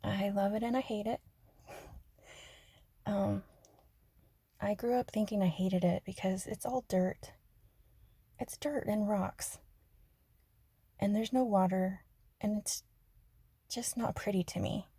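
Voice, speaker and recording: A woman from Arizona chatting softly and rambling in a half-whispered ASMR style, joking about herself. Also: medium pitch, fairly even intonation, slow pace, long pauses, husky, no room sound. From Arizona, USA. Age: adult. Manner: soft, half-whispered, self-deprecating, giggly. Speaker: ASMR creator. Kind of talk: soft-spoken rambling ASMR chat.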